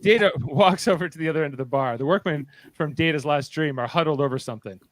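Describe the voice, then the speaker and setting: A man narrates a script's stage directions. His voice sits a bit high for a man, and he drops in wry, casual asides. The recording is dry. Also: quick pace, no echo.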